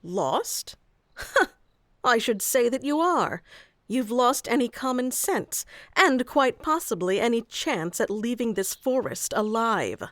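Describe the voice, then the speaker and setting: A haughty young elf maiden of the deep forest, acted by a woman for a fantasy audio roleplay. Her voice is high and she takes her time over old-fashioned phrases, prickly and prone to getting flustered. The sound is very dry, with no room around it. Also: big pitch swings, slow pace, fairly bright tone, dry recording, full character voice. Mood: stern, suspicious. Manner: prickly tsundere, archaic wording, stammers when flustered. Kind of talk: scripted fantasy ASMR roleplay acting.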